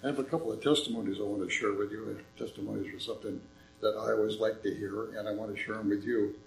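An older man speaking in a church, making an earnest, folksy appeal with a slightly hoarse voice. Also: on the low side, fairly even intonation, quick pace, echoey room, slight background noise, rough recording. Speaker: visiting ministry speaker. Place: church sanctuary.

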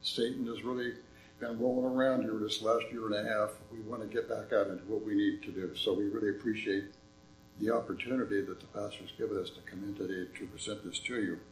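Older man, earnest church speaker, slightly hoarse, low and gravelly, roomy echo. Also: fairly even intonation, fast, a little hiss or hum. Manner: earnest, folksy storytelling. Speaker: visiting ministry speaker. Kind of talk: church appeal talk. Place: church sanctuary.

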